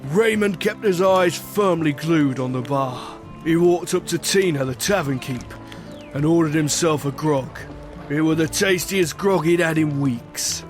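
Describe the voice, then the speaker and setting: Higher-pitched male storyteller over music. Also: a little slow. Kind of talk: scripted dramatic story narration.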